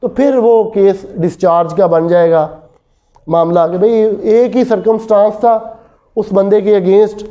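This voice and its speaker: Male law lecturer, Pakistani accent, very dark, soft-edged tone, slow explanatory classroom delivery. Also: sits high. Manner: explanatory, switches between languages mid-sentence. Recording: dull lo-fi audio.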